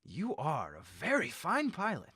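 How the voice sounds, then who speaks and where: A man playing a megalomaniac leader bent on mass death in a radio horror drama, theatrical and gloating, moving between hushed menace and shrieks. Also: on the high side, wildly swooping pitch, a little slow, slightly rough, no echo, heavily acted. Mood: smug, taunting. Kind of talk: old-time radio horror drama acting.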